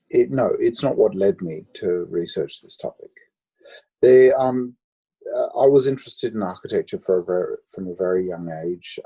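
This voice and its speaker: A man from Australia tells his story in an interview, stopping often with ums. His voice is low and mellow, a little husky. The sound is dull and lo-fi. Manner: thoughtful, many ums and pauses. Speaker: guest architect. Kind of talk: interview, answering questions.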